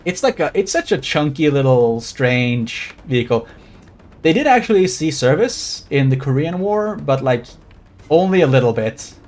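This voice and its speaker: A man's voice: a little high, sing-song, moderate pace, darkish tone, faint music behind. A Swedish man with a general American accent, a game streamer casually rambling and swearing while chatting with viewers. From Sweden. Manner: rambling, swears freely, talks to chat while playing. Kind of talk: casual live gaming commentary.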